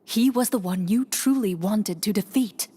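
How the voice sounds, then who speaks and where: An actress playing a composed, wise woman hunter and duelist in a video game, speaking in a measured, instructive way. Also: mid-range voice, dark tone, natural voice. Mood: firm. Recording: music behind the voice.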